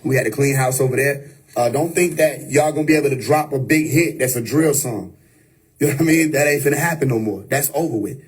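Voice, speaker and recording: Man, husky voice, preachy streamer monologue, emphatic. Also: medium pitch, fairly quick. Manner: preachy, emphatic, repeats "you know what I mean". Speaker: livestream host. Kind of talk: unscripted livestream monologue. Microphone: phone or webcam on a live stream.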